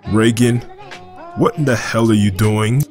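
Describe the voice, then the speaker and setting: A man's voice: fairly low pitch, steady tone, unhurried, darkish tone, faint music behind, close to an everyday voice. A man playing a blunt, impatient, bossy crew member in an audio drama. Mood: irritated.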